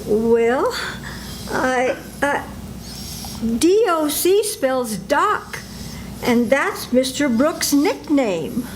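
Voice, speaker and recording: An older woman in a senior center radio drama reading, playing a falsely sweet house guest with soft exclamations. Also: high-pitched, sing-song, extremely slow, long pauses, a little hiss or hum, exaggerated voice, low-quality recording. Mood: hesitant. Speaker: amateur radio actor.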